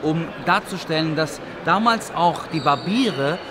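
A man in his thirties, a barber, giving an excited, chatty vlog tour at a trade fair. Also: a little high, a little slow, noisy background. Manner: upbeat, enthusiastic, chatty. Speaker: vlogger and barber. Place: busy indoor convention hall. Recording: crowd chatter behind.

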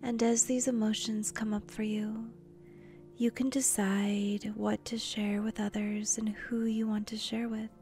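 Woman, guided meditation, breathy near-whisper, even low-variation pitch, unhurried, soothing, long pauses. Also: faint music behind. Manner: gentle, soothing, short phrases with long pauses. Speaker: meditation guide, channel host.

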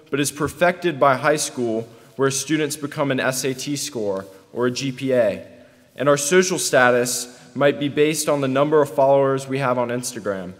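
A young American man in his late teens delivering a prepared commencement speech in a deliberate, deadpan way. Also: mid-range voice. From North Carolina, United States. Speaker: student graduation speaker. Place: podium on a stage in a large hall. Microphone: podium microphone. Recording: echoey large hall, long reverb.

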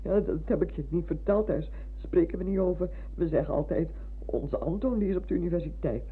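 An actress playing an older, fretful, chatty retired village schoolmistress in a radio drama, halting and repeating herself as she fusses. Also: fairly low pitch, a little hiss or hum, close to an everyday voice. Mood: wistful, troubled. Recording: muffled, humming old radio recording.

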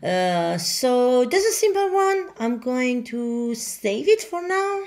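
A woman whose first language is Farsi, talking through a software demo in halting English with many fillers. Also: high-pitched, sing-song. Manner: halting, many fillers and restarts, non-native grammar. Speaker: software tutorial presenter. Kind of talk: screen-recorded software walkthrough.

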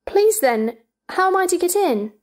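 A woman's voice: very high-pitched, very animated, normal speed. A woman playing a curious, polite but argumentative young girl in a stage play reading, prim and questioning.

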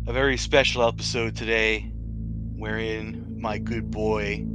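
A man's voice: on the low side, level tone, a little slow. Casual man hosting a tabletop game, over music. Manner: casual, sweary. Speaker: game master and podcast host. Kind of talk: tabletop game narration and banter. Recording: music behind the voice.

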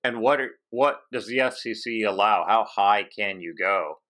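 Bright-voiced low-pitched man, halting interviewer. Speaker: podcast host. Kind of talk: casual technical interview. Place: home office with bookshelves, on a video call.

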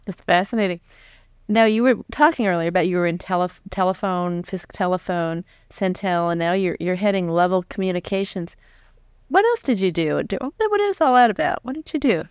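A woman's voice: fairly low pitch, lively intonation, brisk, a little mellow, clear, resonant tone, no room sound, clean studio sound. A middle-aged American woman conducting a conversational interview, asking her guest questions. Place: indoor stage before a live audience. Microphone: large stand microphone close in front.

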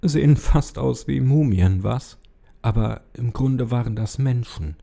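A disillusioned man on the run talks in a horror story , played by a male narrator. He sounds bitter and wry, with disbelief in his words. The voice is dark and creaky. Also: medium pitch, a little slow, voice pushed up. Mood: giddy, bitter. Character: bitter, drugged, disillusioned Egyptian fugitive man.

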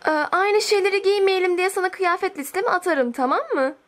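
Super high haughty teen diva girl done by a woman, bossy, affected, fan dub w some background noise. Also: a little fast, a bit bright. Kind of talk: amateur fan dub acting.

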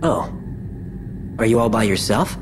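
Lively, friendly young warrior. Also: male voice, lowish voice, measured pace. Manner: eager, open, expressive. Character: friendly, earnest young warrior, protective and upbeat. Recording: game audio over ambient noise.

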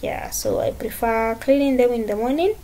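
Bright-free, high-voiced young Kenyan woman vlogger. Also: normal speed. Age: young adult. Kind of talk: casual vlog chat, mixing English and Swahili.

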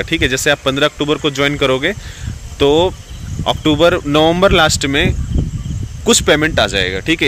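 A man's voice: medium pitch, bright, forceful tone, slight background noise. A young man filming himself on a phone outdoors, giving casual, reassuring advice and often saying "theek hai". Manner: reassuring, repeats "ठीक है" often. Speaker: vlogger giving advice to recruits. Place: outdoors, green rural spot under trees. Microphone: phone selfie at arm's length.

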